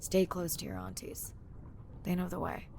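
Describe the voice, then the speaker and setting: A woman playing a caring, reflective young marine scientist in a video game, speaking naturally and intimately with a slightly gravelly edge. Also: lowish voice, normal speed, a little mellow, slight background noise. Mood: gentle.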